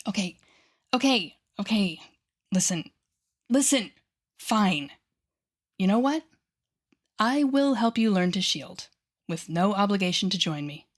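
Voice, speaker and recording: A woman playing a haughty, sardonic telepathic villain with a hidden soft side, speaking straight to the listener in an audio roleplay. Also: mid-range voice, lively intonation. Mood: conceding. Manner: sardonic, theatrical, teasing, with sighs and deliberate pauses. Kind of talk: intimate audio roleplay acting addressed to the listener.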